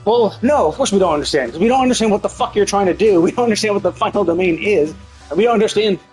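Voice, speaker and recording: A man's voice: high voice, animated, rapid-fire, darkish tone, slightly rough, faint music behind. A man giving casual, opinionated game commentary on a livestream, swearing freely as he talks. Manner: profane, opinionated, mockingly paraphrases characters.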